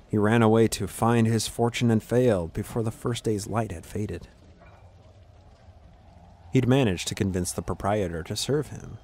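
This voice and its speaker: A man's voice: mid-range voice, darkish tone, breathy edge. A man narrating a scripted fantasy story.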